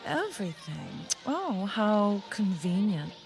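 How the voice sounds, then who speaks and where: A woman's voice: mid-range voice, very animated, takes it slowly, a little mellow, soft background music. An actress playing a flirtatious, wealthy married society woman in a radio mystery, arch, coy and teasing. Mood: teasing, probing. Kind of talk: radio mystery drama acting.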